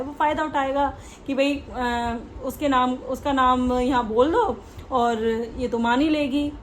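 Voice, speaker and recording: Female reaction host, high-pitched, conversational and explanatory, drops in English words, faint background noise. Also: poor audio. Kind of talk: casual opinion commentary on online drama.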